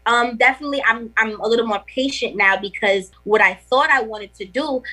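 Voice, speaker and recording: A young woman with a Trinidadian accent talks over a video call, her voice bright and sitting a little high. She sounds bubbly and excited, peppering her speech with "like" and "you know" in an easy, chatty way. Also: a little fast, poor audio. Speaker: rapper guest. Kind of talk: casual interview chat.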